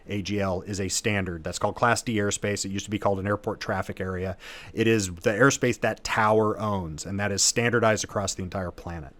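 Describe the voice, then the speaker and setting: A man's voice: lowish voice, a bit bright, no room sound. A man teaching as an instructor in an informal, conversational way, explaining things step by step with technical jargon. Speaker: host and instructor of an aviation lesson.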